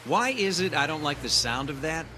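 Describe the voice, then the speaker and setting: A man's voice: a little high, sing-song, normal speed, bright, forceful tone, a little hiss or hum, heavily acted. A man acting in an audio drama as a jaded, skeptical character with a wry, laconic and casual delivery. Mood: wry, unbothered. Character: jaded, wry, skeptical man who smokes, older than student.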